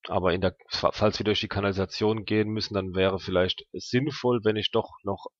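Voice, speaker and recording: A man's voice: fairly low pitch, fairly even intonation, fairly quick, no room sound. A man playing a tabletop role-playing game, chatting casually and hesitantly as he thinks aloud, in a slightly dull, dry recording.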